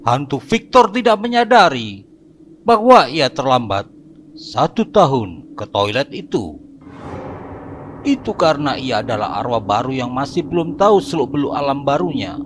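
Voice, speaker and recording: A man's voice: medium pitch, noisy background. A man narrating a horror cartoon in a dramatic, scripted storytelling style. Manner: dramatic, expressive.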